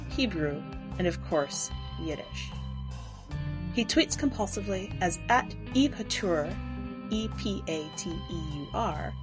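A woman reading scripted literary narration in a measured, deliberate way. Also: mid-range voice. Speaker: podcast editor and story reader.

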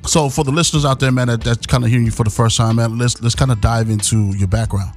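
Fast-talking slangy radio co-host man. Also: mid-range voice, steady tone, faint music behind. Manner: slangy and hyped, leaning on "man" and "you know what I mean". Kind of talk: casual radio talk. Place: radio studio.

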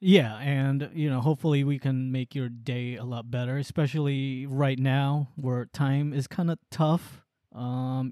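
A young man from the Philippines, a native Waray speaker, co-hosts a podcast in an easygoing, joking way. His voice is mellow and middle-pitched. The sound is dry and close. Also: dry recording. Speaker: podcast co-host. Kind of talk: casual podcast chat.

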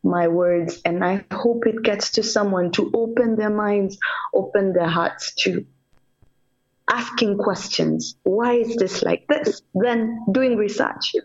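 A woman's voice: medium pitch, slow, dull audio. A woman from Kenya, a reggae singer, talking earnestly about her music in a casual radio interview, in short repeated phrases. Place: radio call-in.

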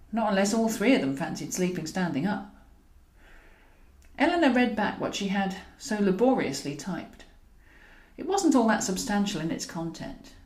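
A British woman reads comic fiction as an audiobook narrator. Her delivery is wry and very animated in pitch. The recording has an audible roomy echo. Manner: wry, expressive. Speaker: author and narrator.

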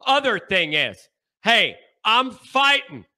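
Stuffed-up man, high and sharp. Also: very animated, slow. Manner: emphatic, repeats points with bursts of shouting. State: stuffed-up nasal congestion. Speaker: show host. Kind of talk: sports talk monologue.

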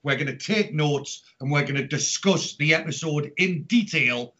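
A man's voice: fairly high pitch, moderate pace, fairly bright tone. A middle-aged man from Newcastle with a Geordie accent, hosting a chatty podcast in an upbeat way over an internet call. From Newcastle, north-east England. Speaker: podcast host. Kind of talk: chatty podcast introduction and announcements. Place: remote Skype call from home during lockdown. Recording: dull lo-fi internet call audio.

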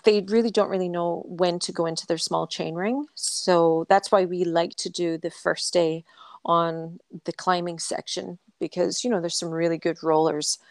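Low-voiced Scottish woman co-hosting. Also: steady tone, normal speed, no room sound. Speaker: endurance coach and podcast co-host. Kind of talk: casual conversational podcast talk.

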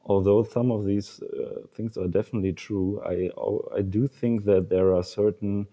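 A young man from Germany thinks aloud in a panel talk, pausing on ums and restarting his sentences. His low, even voice carries a dark tone. The sound trails off into a long, reverberant tail. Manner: hesitant, many fillers and restarts. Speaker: panel discussant. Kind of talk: casual discussion.

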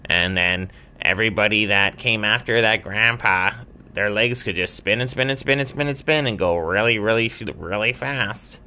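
A man voicing a chatty, friendly lizard for a children's bedtime story, with drawn-out, emphatic and repetitive phrasing. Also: on the low side, bright, forceful tone. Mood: eager, proud. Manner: drawn-out, emphatic, repeats words. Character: speedy chatty friendly lizard proud of his family.

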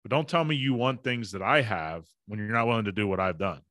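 A middle-aged man talks bluntly and emphatically on a podcast while running a fever. His voice sits low, and the recording is dry and close. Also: a little fast, a bit bright, dry recording. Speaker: podcast co-host. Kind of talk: casual motivational talk.

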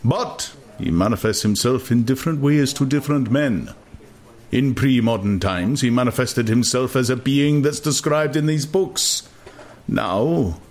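A man playing a deep-voiced, learned ruler in a dramatized audiobook, speaking deliberately and calmly persuasive, with oratorical phrasing. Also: close to an everyday voice. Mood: calm, reasoning.

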